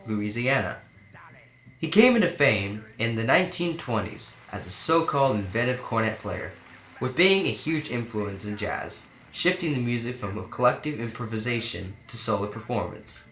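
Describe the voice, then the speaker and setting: A man's voice: medium pitch, fairly bright tone. Male documentary-style newsreel narrator reading a script, roomy sound, light musical bed. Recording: echoey room sound. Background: faint music.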